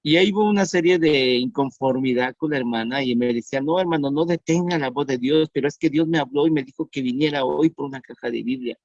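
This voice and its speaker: A man in his fifties preaching an online sermon as pastor, earnest and repeating his questions slowly for emphasis. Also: mid-range voice, darkish tone, no echo. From Mexico. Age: 50s. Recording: dull dry sound.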